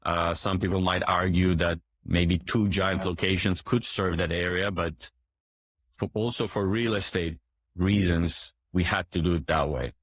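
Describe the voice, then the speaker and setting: A man in his forties with a low voice, who grew up in Turkey, talks through interview answers in a halting way, full of "um"s, restarts and throat clearing. The recording is close and dry, with no room sound. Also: fairly even intonation, no echo. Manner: hesitant, many fillers and restarts, clears throat often. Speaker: boat dealership owner, guest.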